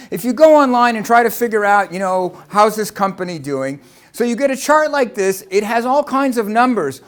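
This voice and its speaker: An American man with a fairly high voice gives a talk to a room of listeners. He explains step by step and often poses rhetorical questions. His tone stays casual and conversational. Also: fairly bright tone. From Los Angeles, United States. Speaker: lecturer. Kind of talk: presentation talk to an audience.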